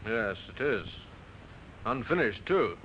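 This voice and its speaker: An actor playing a probing, businesslike Scotland Yard inspector in an old radio drama. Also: male voice, medium pitch, very animated. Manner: measured, businesslike questioning. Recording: muffled, noisy old radio broadcast.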